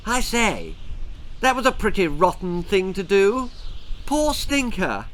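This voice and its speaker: A man's voice: sits high, huge pitch swings, slow, fairly bright tone, pitched above the performer's own voice, exaggerated voice. A man voicing plucky, posh English schoolboys with earnest, old-fashioned politeness, in a children's storybook reading. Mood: indignant.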